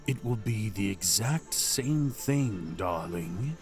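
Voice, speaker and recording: A man's voice: on the low side, animated, slow pace, darkish tone, soft background music. A man voicing a possessive, mad dragon king in an ASMR fantasy roleplay, theatrical and doting, purring endearments and dropping into whispers. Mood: gleeful vengeance.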